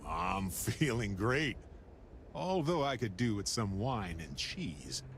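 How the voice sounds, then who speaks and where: Low-voiced, reflective space explorer. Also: male voice, lively intonation, a bit bright, a little hiss or hum, full character voice. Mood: playful, grateful. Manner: sincere, measured, wistful. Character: warm, reflective middle-aged male space explorer. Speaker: video game character actor. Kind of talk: dramatic video game dialogue.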